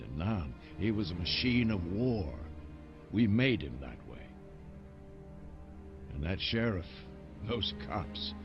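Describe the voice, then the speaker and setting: A grave, deep-voiced war colonel. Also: male voice. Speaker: narrator. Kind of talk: game cutscene voice acting. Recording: dull lo-fi audio over loud music.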